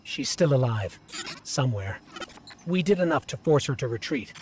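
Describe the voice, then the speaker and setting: A man's voice: mid-range voice, animated, normal speed, background noise, natural voice. A man voicing a small, caring, earnest robot companion who guides the player in a video game. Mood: concerned, reassuring. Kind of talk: video game dialogue and guiding narration. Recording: game music behind the voice.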